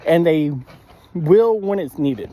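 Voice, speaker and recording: A man giving a casual spoken episode review in a halting way, often clearing his throat. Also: a little high, lively intonation, warm tone.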